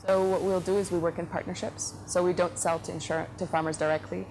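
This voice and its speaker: A woman from the Netherlands speaks in a low, steady register with little rise and fall. She is giving relaxed interview answers as a company head, thinking aloud with frequent fillers and restarts. A clear hiss of background noise sits under her voice. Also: fast, low-quality recording. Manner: thoughtful, frequent fillers and self-corrections. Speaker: company CEO, interview guest.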